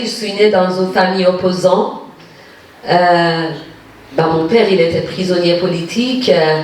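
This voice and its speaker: A woman from Iran tells her story with a Persian accent, pausing often as she hunts for the right words. Her voice sits in a mid range. The mic is well back, so she sounds distant in a very echoey lecture hall. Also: mid-range voice. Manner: searching for words, many hesitations. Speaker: guest poet. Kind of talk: personal storytelling at a reading.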